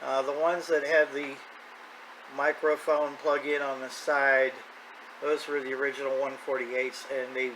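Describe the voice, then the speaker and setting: A man's voice: medium pitch, level tone, a little slow, fairly bright tone, low-quality recording. A man in his fifties talking casually and off the cuff as a radio hobbyist, plain-spoken and folksy. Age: 50s. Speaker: radio hobbyist video host. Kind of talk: casual rambling vlog talk. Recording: clear background noise.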